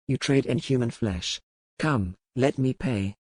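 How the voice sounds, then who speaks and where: A woman plays a hard adventurer man from a historical romance audiobook, pitched well down. He is curt, controlled and defiant, a commanding figure who bargains coolly. The sound is close and dry. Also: unhurried, a little mellow, slightly rough, no room sound, exaggerated voice. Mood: cool bargaining. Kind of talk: audiobook character dialogue.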